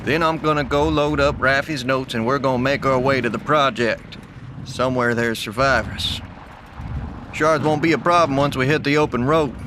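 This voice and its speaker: A dry, folksy survivalist man voiced by an actor, wounded but laconic and practical. His mid-pitched voice sits in clearly noisy vehicle and outdoor ambience, as in an audio drama. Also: steady tone, moderate pace, close to an everyday voice. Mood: weary resolve. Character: gruff, laconic male survivalist metal worker, wounded.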